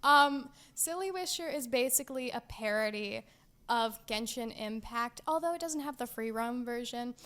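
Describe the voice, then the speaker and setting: Teenage girl, podcast co-host, giggly, hesitant, fairly high voice. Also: expressive, normal speed, a bit bright. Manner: giggly, hesitant with frequent ums. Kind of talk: casual chat.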